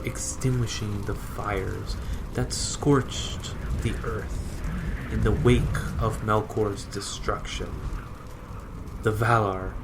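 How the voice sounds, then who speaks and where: A man narrating scripted mythology in a solemn, measured, dramatic storytelling style. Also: lowish voice, creaky, very noisy. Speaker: podcast co-host and narrator. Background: loud music.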